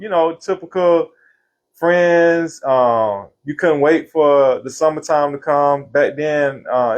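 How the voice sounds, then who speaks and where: A man of fifty from Florida, telling stories from his life in a relaxed interview. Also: a little high. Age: 50s. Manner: relaxed, laughing, Southern slang. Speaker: interview guest, singer. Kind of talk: casual storytelling interview.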